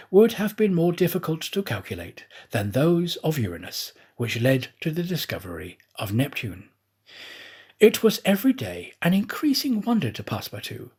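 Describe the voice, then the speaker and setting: A male actor narrates a storybook in a husky voice. His reading is dramatic and expressive, with lively, wide-ranging intonation. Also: medium pitch. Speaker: audiobook reader, professional actor.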